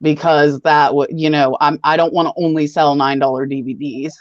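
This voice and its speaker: A chatty woman hosts a casual livestream and rambles along in a brisk, matter-of-fact way. Her voice comes through dull and lo-fi. The sound is dry, with no room echo. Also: deep voice, fairly even intonation. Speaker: host.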